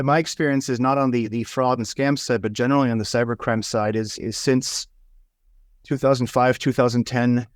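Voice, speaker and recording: A man's voice: medium pitch, dry recording, clean studio sound. A Swiss man with a Swiss accent, hosting an interview and asking long questions, often repeating words as he thinks. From Switzerland. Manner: repeats words often while thinking, stacked stammered starts. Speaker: podcast host, interviewer.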